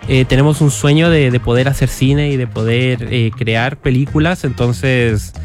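A young man, a filmmaker answering interview questions in a relaxed, hesitant way, with many pauses and restarts. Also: mid-range voice, faint music behind. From Costa Rica. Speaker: guest filmmaker.

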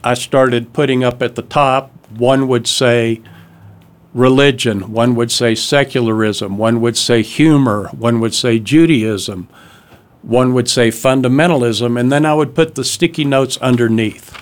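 A man's voice: mid-range voice, a little slow. An older man, an author, gives a radio interview in a studio, telling stories in a chatty way with hesitations. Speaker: author and guest.

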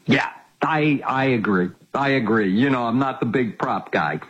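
A man's voice: medium pitch, normal speed, fairly bright tone, breathy edge. A man of seventy, a guest on a sports betting show, talking casually with wry, self-mocking humor. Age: 70s. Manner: wry, self-mocking, laughs at his own jokes. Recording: muffled call-in line.

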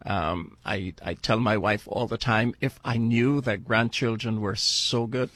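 Older radio guest from Barbados, earnest and unhurried, dry close mic. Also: male voice, lowish voice, steady tone, fairly bright tone, no room sound. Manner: earnest, halting with fillers and restarts. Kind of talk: interview, telling his life story.

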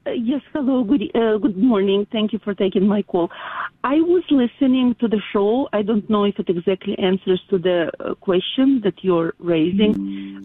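Older-ish lady w eastern european accent calling radio on a tinny phone, husky, hesitant, polite. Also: mid-range voice, no room sound. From Ukraine. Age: middle-aged or older. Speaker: radio show caller. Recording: tinny, dry phone line.

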